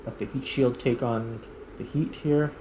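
A man's voice: mid-range voice, fairly even intonation, measured pace, warm tone, a little hiss or hum, poor audio. A man doing casual gameplay commentary as a video host, thinking aloud and correcting himself as he goes.

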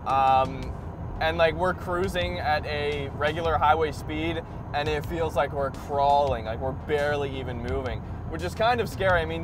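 Excited young man in a car, road noise. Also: on the high side. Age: young adult. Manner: excitable, laughs often, says "like" and "ridiculous". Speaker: car vlogger. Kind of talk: casual car review. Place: inside a moving sports car.

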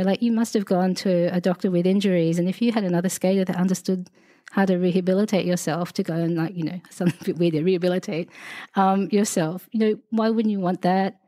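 A woman's voice: fairly low pitch, warm tone, no room sound. An Australian woman in her forties, talking casually as a podcast guest, chatty and rambling with many fillers and asides. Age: 40s. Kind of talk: casual conversational interview.

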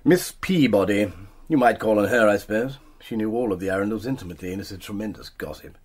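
An actor playing a blunt, offhand country doctor with a husky voice in a radio drama. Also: male voice, lowish voice, fast. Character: brusque, jovially dismissive country doctor.

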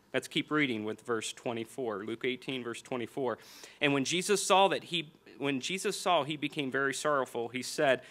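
An American man in his forties delivers a sermon from a church lectern into a small stand mic. His voice is bright and forceful at a middle pitch. He reads scripture earnestly, slows down to lean on key phrases, and repeats them. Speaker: pastor. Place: church hall stage at a lectern.

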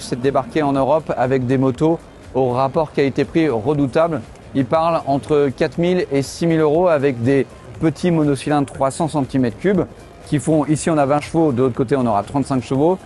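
A middle-aged man, a motorcycle journalist, walking a trade show and explaining the bikes in a lively way. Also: fairly high pitch, a little hiss or hum. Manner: lively, explanatory, tosses in fillers. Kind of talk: trade show walkthrough and interviews. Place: busy indoor exhibition hall. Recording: background music.

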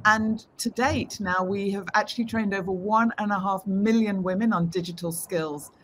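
A woman's voice: mid-range voice, steady tone, normal speed, a little hiss or hum. A British woman in her fifties, a tech executive, answering interview questions fluently and upbeat over a video call from a room at home. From United Kingdom.

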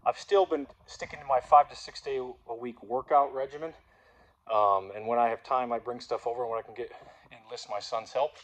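A man's voice: medium pitch, normal speed, low-quality recording. Man in his thirties, casual vlogger, rambling walkthrough, filmed on a phone at arm's length in a big indoor warehouse. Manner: rambling and relaxed, with fillers and restarts. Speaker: gym owner vlogger. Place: large warehouse-style gym, indoors. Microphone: phone held at arm's length.

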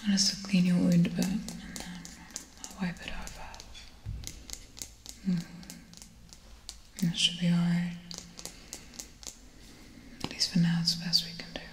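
A young woman playing a wary, caring spaceship crewwoman in a soft, breathy, unhurried ASMR roleplay whisper. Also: fairly low pitch, completely flat delivery, reverberant. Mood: soothing, matter-of-fact. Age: young adult. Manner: soft, unhurried, muted delivery.

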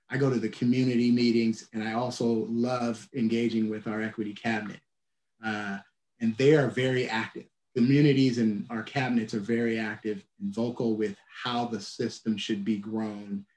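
Measured man on an echoey video call. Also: mid-range voice, a little mellow. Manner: measured, restarts phrases, many "uh" fillers. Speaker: transit agency leader, keynote guest. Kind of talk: answering questions on a video call. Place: office at home or work.

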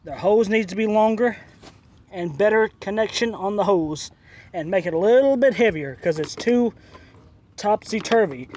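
A man's voice: sits high, normal speed, a little mellow, dull audio. A man giving a casual spoken product review outdoors, talking plainly and repeating his complaints, filmed on a handheld phone. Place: outdoors by a driveway and lawn.

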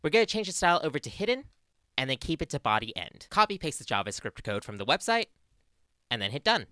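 A woman's voice: deep voice, very animated, fast, fairly bright tone, no echo. A young adult woman with an Australian accent presenting a scripted tutorial into a close studio mic. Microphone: close studio mic on boom arm.

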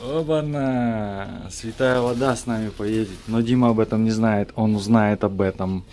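A man's voice: fairly low pitch, normal speed, a little mellow, slight background noise. A man from Kazakhstan filming a casual fishing vlog, joking and swearing freely as he talks. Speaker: fishing vlogger. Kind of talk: casual chat while filming.

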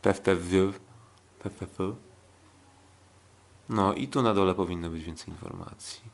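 A man's voice: low voice, measured pace, creaky. A man co-hosting a sports podcast in casual, unscripted talk, hesitant and often repeating himself. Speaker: podcast co-host and kickboxing commentator.

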